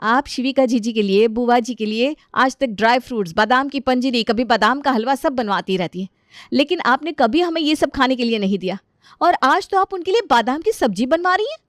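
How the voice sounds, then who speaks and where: A woman plays a young daughter-in-law and mother in a family storybook drama. She is indignant and firm, with a fairly high voice recorded dry. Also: animated, fairly quick, no echo, natural voice. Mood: accusing.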